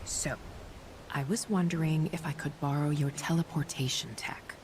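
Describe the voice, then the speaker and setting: A woman playing a calm, kind, wry superhero doctor in a video game, gentle and teasing in natural dialogue. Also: low-pitched, normal speed, slight background noise, lightly acted. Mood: matter-of-fact.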